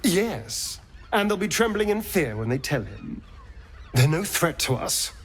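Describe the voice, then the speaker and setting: A man's voice: high voice, sing-song, measured pace, a little hiss or hum. A man playing a theatrical, scornful vampire in a video game. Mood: scornful, scheming. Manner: theatrical, sardonic, drawling. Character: theatrical, scornful, vengeful male vampire spawn.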